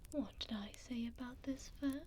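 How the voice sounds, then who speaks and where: A woman's voice: on the high side, normal speed, background noise, close to an everyday voice. A woman playing a regal, tender vampire duchess doting on a pup, whispering breathily into a close binaural mic in formal, old-fashioned phrasing for an ASMR roleplay. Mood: soft, soothing. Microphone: close binaural microphone.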